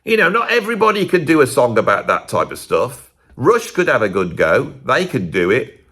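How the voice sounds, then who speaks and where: A middle-aged man hosting a music video channel, chatting in a rambling, digressive way and speaking higher than his natural voice. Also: normal speed, breathy edge. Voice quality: lively, swooping pitch. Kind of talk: rambling casual monologue.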